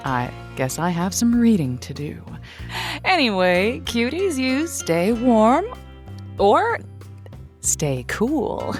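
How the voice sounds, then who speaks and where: A woman playing a playful, teasing radio advice-show host who rambles and hesitates, in a scripted audio drama. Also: mid-range voice.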